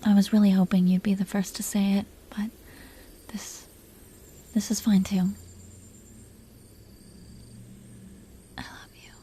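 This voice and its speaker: A woman playing a possessive, proud but secretly tender dragon queen in an intimate roleplay audio drama, breathy and teasing. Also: mid-range voice, flat delivery, moderate pace, mellow, natural voice. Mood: tender, reluctant. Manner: breathy, teasing, haughty, lingering delivery.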